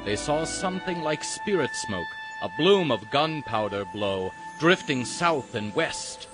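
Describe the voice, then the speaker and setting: Male story narrator over loud music. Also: mid-range voice, animated, measured pace. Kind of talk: dramatic scripted story narration. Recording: loud dramatic music under the voice.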